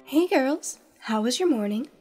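A woman's voice: high-pitched, lively intonation, unhurried, darkish tone, soft background music. A woman voicing a kindly, motherly pony nurse who speaks in a cooing, reassuring way in a fan radio play. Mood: soothing. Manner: cooing and reassuring, calls others dear.

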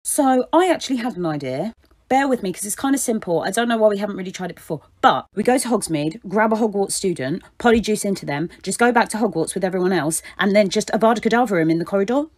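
A woman voicing an eager, nervous henchman who talks in a hurried, casual, placating way in a comedy sketch. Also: mid-range voice, animated, a little mellow, lightly acted.

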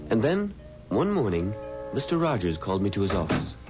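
An actor playing a determined, earnest undertaker's assistant in a 1970s radio drama. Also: male voice, fairly low pitch, sing-song, brisk, over music. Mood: earnest, measured. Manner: earnest, resolute. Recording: muffled, noisy old radio recording.

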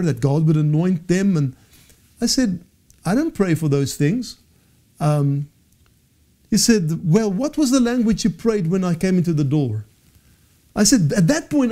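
Afrikaans-native male teacher, 40s, high mellow voice, earnest and self-correcting, studio lapel mic. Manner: earnest, animated hand gestures, frequent self-corrections. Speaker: Bible teacher. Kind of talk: teaching session to camera. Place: studio with dark backdrop.

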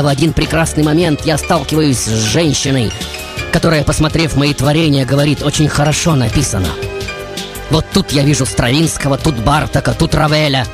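A man playing a passionate, brooding composer who tells his life in a dramatic, poetic radio monologue with deliberate pauses. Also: a little high, lightly acted. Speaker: radio show host. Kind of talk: theatrical radio monologue over music. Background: music.

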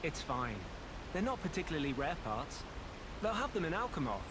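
A man's voice: on the high side, big pitch swings, normal speed, background noise. A young British man playing an earnest, level-headed young swordsman hero in a video game. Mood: calm, earnest. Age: young adult. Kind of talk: video game cutscene acting.

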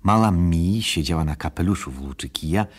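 An extremely deep-voiced storyteller narrates a children's radio play. The voice is husky and unhurried, made for a storybook tale. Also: male voice. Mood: calm narrating.

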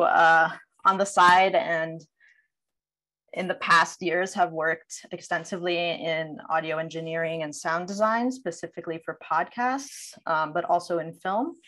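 A woman's voice: fairly low pitch, fairly bright tone. A young woman, a sound designer, giving an informal lecture over a video call.

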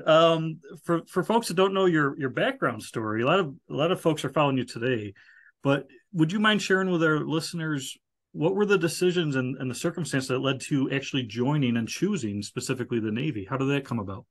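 A man's voice: on the high side, expressive, brisk. A middle-aged man, an Army veteran, hosting a casual video-call interview, friendly and joking, often restarting his sentences. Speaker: podcast host, Army veteran.

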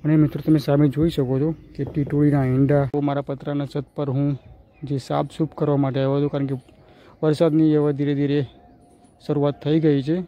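A man's voice: a little high, little pitch movement, fairly quick, muffled recording. A young man with a Gujarati accent, walking around his village rooftop and describing what his phone camera shows, repeating his phrases often. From Gujarat, India. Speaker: village vlogger. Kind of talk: casual walk-and-talk vlog. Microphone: handheld phone camera. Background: birds calling.

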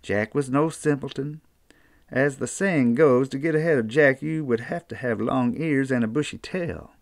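An older man with an Appalachian accent, reading a children's storybook aloud in an unhurried, folksy way. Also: medium pitch, gravelly, no room sound, studio quality. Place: small book-lined room indoors.

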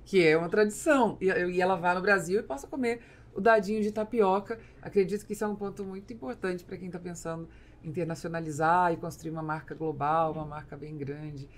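A woman's voice: lowish voice, a little fast. A woman with a Brazilian accent hosting a podcast, explaining at length as she builds her questions in a conversational way. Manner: explanatory, long linked questions. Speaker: podcast host. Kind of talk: conversational interviewing. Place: small foam-padded indoor podcast studio. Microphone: studio mic on boom arm.

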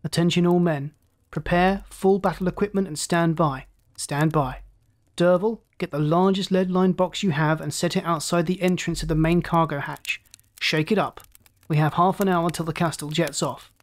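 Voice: mid-range voice, fairly even intonation, normal speed, close to an everyday voice. A narrator voicing a determined male space officer who speaks urgently and insistently in a science fiction story.

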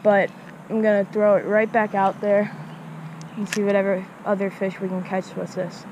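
A woman talks to her viewers while fishing at a small creek, chatty and given to repeating words. Her pitch stays flat and even. The action camera picks up a faint outdoor hiss behind her. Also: normal speed, lo-fi sound. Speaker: fishing vlogger. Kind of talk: casual outdoor vlog narration.

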